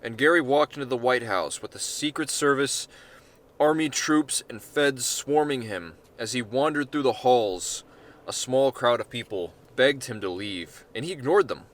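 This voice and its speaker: A man's voice: medium pitch, animated, moderate pace, a bit bright. A man, the author, reading his own short story as informal narration with casual, profane asides.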